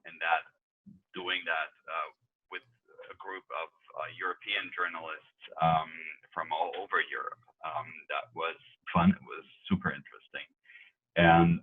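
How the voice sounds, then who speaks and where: A German journalist, a man, answering interview questions in a relaxed way with many fillers. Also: a bass voice, moderate pace. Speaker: journalist guest. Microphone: video call. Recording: tinny phone-like video call audio.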